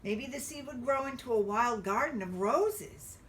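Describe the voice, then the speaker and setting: A woman reading a picture book aloud to young children, expressively and with drawn-out emphasis. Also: a little high, animated, a bit bright, far from the mic, slight background noise. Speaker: children's storybook reader.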